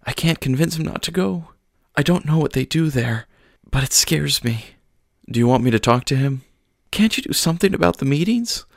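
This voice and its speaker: A man's voice: on the high side, expressive, normal speed, creaky, no room sound, studio quality, pitched above the performer's own voice. A man voicing a distraught mother who stammers through her tears and breaks off mid-sentence. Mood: distraught pleading. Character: distraught middle-aged mother, sobbing and pleading.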